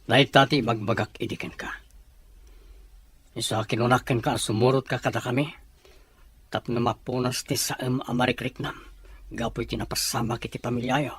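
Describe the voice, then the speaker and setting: Husky Ilocano gang leader played by a man. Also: medium pitch, flat delivery, normal speed, pauses often, fairly bright tone. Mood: easygoing, persuasive. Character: scheming male leader of a rebellious gang. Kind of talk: Ilocano audio drama dialogue. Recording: music bed behind the voice.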